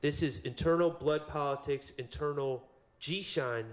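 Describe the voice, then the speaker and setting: A man speaking as a defense attorney, making a measured, halting legal argument in court. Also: fairly high pitch.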